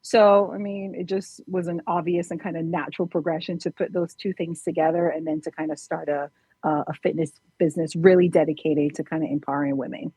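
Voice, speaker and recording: Lady from barbados, lowish husky voice, casually telling her story as a podcast guest. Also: steady tone, brisk, warm tone, dry recording, rough recording. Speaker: podcast guest, fitness trainer.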